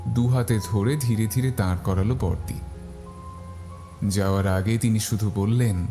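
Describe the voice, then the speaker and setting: A man's voice: lowish voice, steady tone, a little slow, warm tone. A man narrating a scripted first-person horror story. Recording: faint music behind the voice.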